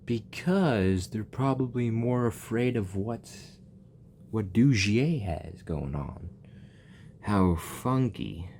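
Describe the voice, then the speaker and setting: Low-voiced man reacting to a game. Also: lively intonation, slow, darkish tone, slight background noise. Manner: blunt, joking, sarcastic. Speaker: gaming video creator. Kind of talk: casual reaction commentary over gameplay. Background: faint game music.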